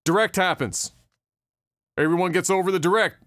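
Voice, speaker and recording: A man co-hosting a gaming podcast in a casual, profane rant. His voice sits fairly high. The sound is close and dry. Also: moderate pace, fairly bright tone, dry recording. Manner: profane, wry ranting. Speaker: podcast co-host. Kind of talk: casual gaming news chat.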